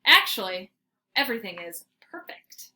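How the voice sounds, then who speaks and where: A woman voicing an eager, boastful small young male dog in a storybook, excitable and cocky. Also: medium pitch, normal speed. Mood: proud, excited.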